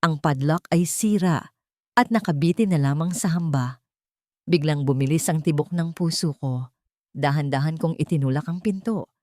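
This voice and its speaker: A woman narrating a dramatic first-person story in a solemn, deliberate storytelling manner. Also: low voice, darkish tone, dry recording, studio quality.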